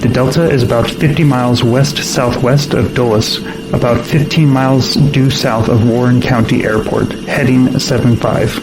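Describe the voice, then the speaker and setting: A man playing a steady air traffic controller in an audio drama, clipped and procedural. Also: mid-range voice, normal speed, close to an everyday voice. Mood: focused. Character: steady male air traffic controller guiding fighter jets. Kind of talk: audio drama radio acting. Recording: loud vehicle-like noise behind.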